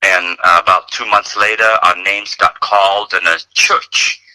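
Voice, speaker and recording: A man's voice: low-pitched, steady tone, a little slow, sharp tone, low-quality recording. A man in his fifties from Vietnam, a radio guest telling a personal story in an earnest, conversational way with many fillers. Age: 50s. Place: radio studio.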